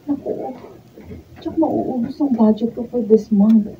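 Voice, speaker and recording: A distant, slightly dull recording of a woman acting as a breezy, vain young Filipino woman. Her voice is husky and on the high side, in a colloquial radio drama style. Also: a little slow, a little hiss or hum, natural voice. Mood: fretting. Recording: dull, distant room pickup.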